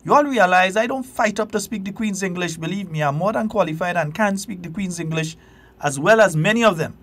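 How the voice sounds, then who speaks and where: A man's voice: sits high, fairly quick, a bit bright. A Grenadian man hosting a call-in radio talk show, talking with passion and emphasis. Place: radio studio.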